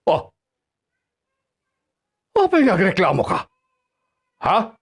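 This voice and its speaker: A man's voice: high voice, wildly swooping pitch, slow pace, slightly rough, exaggerated voice. A man with an Ilocano accent playing a scornful, nagging father-in-law in a radio drama, scolding with exclamations and sarcastic questions. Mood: bossy, scolding. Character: nagging, scornful father-in-law berating his daughter-in-law as lazy. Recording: music bed behind the dialogue.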